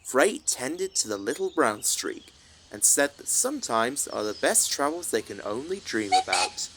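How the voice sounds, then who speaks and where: A man's voice: medium pitch, big pitch swings, measured pace, noisy background. A man reading a scripted children's story as a narrator, with music behind him. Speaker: narrator of a fan dub.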